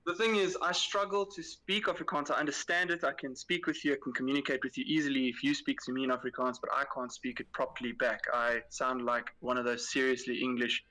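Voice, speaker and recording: Bright-toned South African caller. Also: male voice, medium pitch, steady tone, fast talker, few pauses, low-quality recording. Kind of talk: casual call-in chat.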